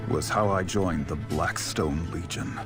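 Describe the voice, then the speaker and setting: A battle-hardened knight tells his war story in a low voice. He is grave and deliberate, speaking in short lines as in a video game cutscene, with a loud score behind him. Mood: grim, resolute. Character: battle-hardened mercenary turned knight, grim narrator. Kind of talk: dramatic scripted game narration. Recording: loud music under the voice.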